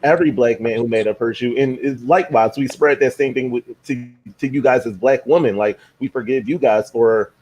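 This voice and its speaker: A man in his thirties hosting a live-stream talk, relaxed and playful, laughing easily. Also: mid-range voice, fairly quick, few pauses, rough recording. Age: 30s. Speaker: host.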